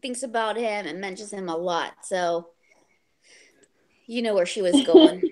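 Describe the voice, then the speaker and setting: A woman's voice: medium pitch, a little slow, dark tone. A woman in a casual group book discussion on a video call, joking bluntly and swearing freely as she steers the conversation. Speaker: book club discussion host.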